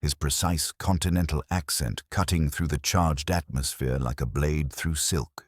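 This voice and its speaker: A man reading a scripted mystery story as an audiobook narrator, in a formal, measured, dramatic storytelling manner. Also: rumbling low, fairly even intonation, dry recording, studio quality.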